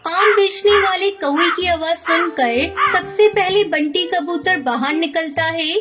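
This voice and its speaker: Very high-pitched woman storyteller. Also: unhurried, over music. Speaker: narrator. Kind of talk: scripted children's story narration.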